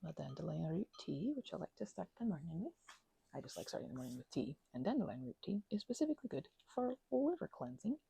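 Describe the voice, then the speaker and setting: A woman rambles softly over her crafts for an ASMR video, close to the microphone, her voice full of gravelly fry and a husky breathiness. Her pitch swings widely as she meanders from thought to thought, often dropping into a whisper. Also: sing-song, fast talker, no echo.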